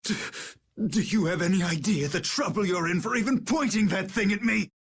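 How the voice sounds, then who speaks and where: A man's voice: high-pitched, a little fast, breathy edge. A man playing a vain, cowardly noble knight captain in a video game, with a haughty, theatrical, pleading delivery. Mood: haughty outrage. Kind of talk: dramatic video game voice acting.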